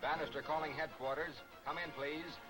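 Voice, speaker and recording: An actor playing a brisk, gruff police detective in a 1940s film serial, clipped and commanding. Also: male voice, fairly high pitch, fairly even intonation, close to an everyday voice. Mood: urgent, businesslike. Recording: vintage 1940s film soundtrack, music behind.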